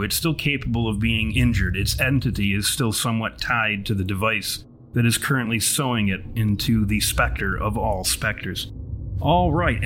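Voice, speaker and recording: A man's voice: mid-range voice, fairly bright tone, a little hiss or hum, poor audio. A man working as game master, narrating a horror tabletop game in grandiose, wordy, wry language. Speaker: podcast writer and artist.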